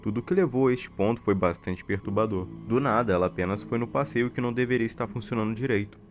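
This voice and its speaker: A man's voice: lowish voice, fast, faint music behind. A man with a Brazilian accent, telling a horror story as scripted narration for an explainer video.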